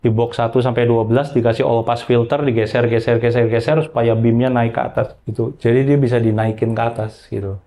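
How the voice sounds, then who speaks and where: Man, mellow relaxed tone, mid pitch, informal software trainer, colloquial explanatory delivery. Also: fairly quick, few pauses. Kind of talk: informal hands-on software tutorial.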